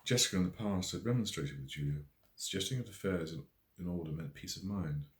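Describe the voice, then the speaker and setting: A man's voice: sits low, a little fast. A man reading scripted narration from his own novel as an audiobook. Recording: echoey room.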